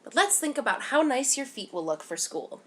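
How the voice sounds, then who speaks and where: A woman voicing a patient, careful mother in a children's storybook, gently explaining and reassuring. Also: sits high, very animated, moderate pace, voice pushed up.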